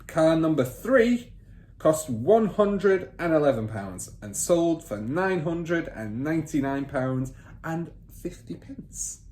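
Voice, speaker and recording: A man voicing a boastful, bullying father and crooked car dealer in a children's storybook, loud, pompous and emphatic. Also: fairly high pitch, very animated, slow, voice pushed up. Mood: smug, emphatic. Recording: roomy echo, faint background noise.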